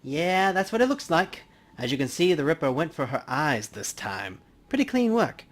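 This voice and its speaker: A man voicing a chatty, folksy male coroner from a video game in an animated, casual manner. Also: fairly high pitch, moderate pace. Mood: chatty, upbeat. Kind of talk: acting out video game dialogue.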